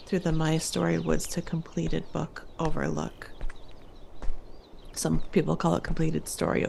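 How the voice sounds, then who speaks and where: Creaky, lowish-voiced woman playing a camp director. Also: a little mellow, a little hiss or hum. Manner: encouraging, addresses listeners as campers. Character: friendly, reassuring female summer camp director and guide. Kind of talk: audio drama in a camp radio show.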